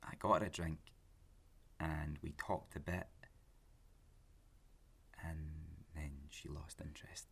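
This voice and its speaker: Gravelly, low-voiced obsessive lovesick man. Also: little pitch movement, a bit bright, heavily acted. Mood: wistful. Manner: intense, halting, self-justifying. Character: obsessive, pleading, lovesick lonely man on a date. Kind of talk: naturalistic audio drama acting.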